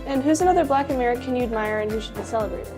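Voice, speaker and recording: Rapid-fire woman interviewer. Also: a little high, a little mellow, faint music behind. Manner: reads questions rapidly.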